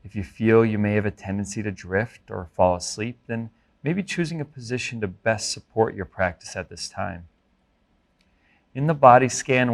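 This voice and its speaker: A man instructing a guided body scan meditation in a gentle, measured, instructive manner. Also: fairly low pitch.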